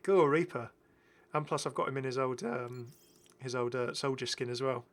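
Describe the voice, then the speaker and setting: A man's voice: medium pitch, moderate pace. A British man giving casual, enthusiastic, rambling commentary as the host of a gaming video. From Britain. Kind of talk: casual commentary over game footage.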